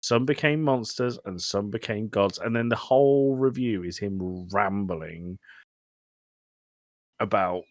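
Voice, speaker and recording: Male podcast host, lowish pitch, close dry sound, sweary deadpan humour in loose film chat. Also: slow, no echo. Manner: sweary, dryly joking. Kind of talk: casual film review chat.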